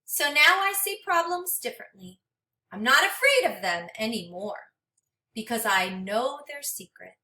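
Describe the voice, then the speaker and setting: A high-pitched, very animated woman reads a storybook to children in a dramatic, teacherly way. She moves between narrating and speaking directly to her young listeners. The sound has a clearly echoey room around it. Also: measured pace. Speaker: teacher reading a picture book aloud. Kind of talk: interactive children's read-aloud with questions to listeners. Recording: echoey room, distant microphone.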